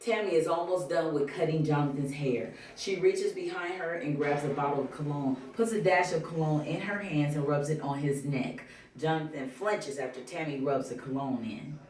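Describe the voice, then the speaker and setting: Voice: mid-range voice, normal speed, few pauses, a little mellow. Echoey, distant reader of screenplay directions. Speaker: table read participant reading stage directions. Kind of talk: reading screenplay directions aloud. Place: indoor room at a table reading. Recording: echoey room from far off, faint noise.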